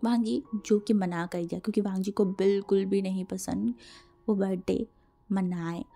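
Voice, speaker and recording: An Indian woman narrates in a casual, chatty way, like a channel host talking to followers. Her voice is mellow and gravelly, hoarse from a cold. She repeats words for emphasis, with music playing underneath. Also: medium pitch, steady tone, normal speed. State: sore throat from a cold. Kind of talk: casual spoken fan fiction narration.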